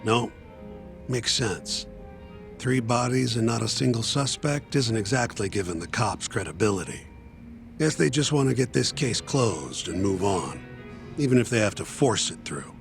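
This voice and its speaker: A brisk, unsentimental defense lawyer in a video game dub. His male voice is gravelly and lively in its swings, and he talks bluntly and matter-of-factly over background music. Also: medium pitch. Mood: blunt, pragmatic. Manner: blunt, colloquial, matter-of-fact. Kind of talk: English game dub dialogue.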